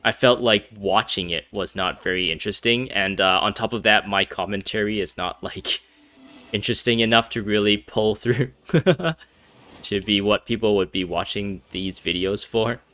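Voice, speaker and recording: A man's voice: on the low side, moderate pace, bright, forceful tone. A man giving casual gameplay commentary, rambling with many fillers and restarts. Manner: rambling, hesitant, frequent fillers and restarts, laughs often. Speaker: gaming commentator.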